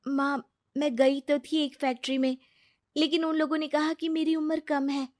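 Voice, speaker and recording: A woman's voice: high voice, little pitch movement, normal speed, darkish tone, lightly acted. A young woman playing a dutiful, kind daughter in a cartoon family story, speaking earnestly. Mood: obedient, earnest. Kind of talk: Hindi cartoon dubbing.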